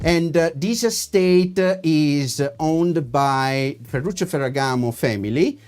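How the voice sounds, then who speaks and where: A man's voice: fairly high pitch, a little slow, darkish tone, clean tone, soft background music. A man with an Italian accent, a wine importer hosting a live-streamed tasting, talking in a chatty way with many filler words.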